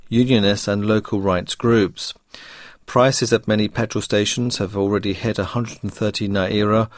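A man's voice: fairly low pitch, no room sound, clean studio sound. A man reading a scripted news bulletin on the radio. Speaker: radio newsreader.

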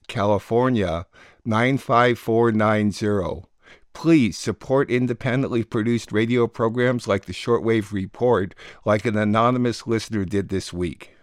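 Low-pitched man hosting a radio program. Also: dry recording, studio quality. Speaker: radio program host and producer. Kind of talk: scripted radio introductions and announcements.

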